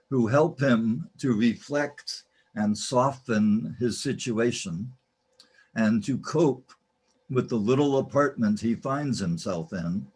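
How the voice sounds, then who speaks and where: Male radio-play narrator, medium pitch, unhurried ceremonious delivery of a scripted introduction. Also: a little mellow, slightly rough. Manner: measured, ceremonious.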